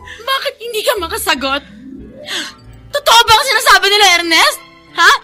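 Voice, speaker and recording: An actress in a Filipino radio drama playing a volatile, spiteful young heiress with a melodramatic, demanding manner. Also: female voice, extremely high pitch, animated, normal speed, bright, forceful tone, faint music behind, exaggerated voice. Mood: furious, tearful. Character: spiteful, volatile young heiress raging over being adopted.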